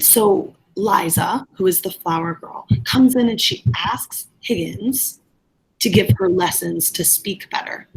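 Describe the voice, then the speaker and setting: A woman's voice: medium pitch, husky, rough recording. A woman speaking as a middle school teacher, giving an informal lesson recap and instructions to students.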